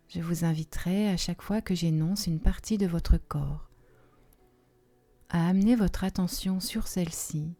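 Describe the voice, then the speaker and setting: A woman leading a guided relaxation meditation in a soft, soothing, unhurried manner with long pauses. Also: lowish voice. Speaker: yoga nidra relaxation guide. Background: soft music.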